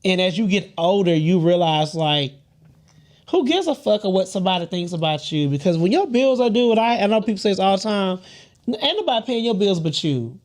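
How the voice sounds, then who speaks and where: Sassy man with a light, high voice. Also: a little fast. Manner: animated, sassy, swears freely, laughs often. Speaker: podcast co-host. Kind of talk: casual group chat, storytelling.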